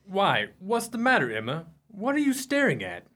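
A man's voice: high-pitched, wildly swooping pitch, moderate pace, bright, forceful tone, heavily acted. A man acting a blustering, domineering brother in a radio play, emphatic and condescending. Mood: agitated. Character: blustering, domineering brother masking unease with forced good humor.

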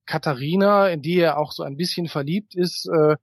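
A man with a voice a little higher than average, recorded close and dry. He talks as a guest in an interview, thinking aloud and explaining at length. His sentences wind along with hesitations as he looks for the right wording. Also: normal speed, dry recording. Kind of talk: conversational interview answers.

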